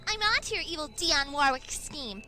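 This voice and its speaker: A woman's voice: high-pitched, sing-song, moderate pace, crowd noise. A woman playing a defiant young anime heroine in an amateur fan dub. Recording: clip with music behind.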